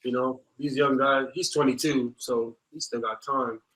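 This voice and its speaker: A young man, a rugby player guest on a podcast, giving relaxed, short answers. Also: mid-range voice, normal speed, poor audio. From United States. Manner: laid-back, short answers. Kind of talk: casual interview chat.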